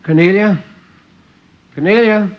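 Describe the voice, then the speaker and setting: A man's voice: high-pitched, takes it slowly, pitched above the performer's own voice. A male reader voicing a querulous, proud, bedridden eighty-year-old grandmother with a fretful, complaining manner. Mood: fretful, demanding. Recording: dull vintage 1950s radio recording.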